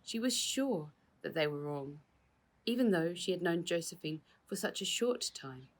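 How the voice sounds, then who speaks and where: A woman's voice: lowish voice, lively intonation, normal speed. A woman reading her own children's novel aloud as scripted narration, acting out the dialogue expressively.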